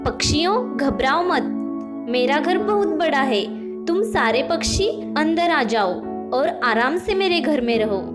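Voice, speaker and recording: A woman voicing a small, earnest, plaintive female bird in a moral-story cartoon, with slow, emotive delivery. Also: sits high, lively intonation, loud music behind the voice. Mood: kind, reassuring.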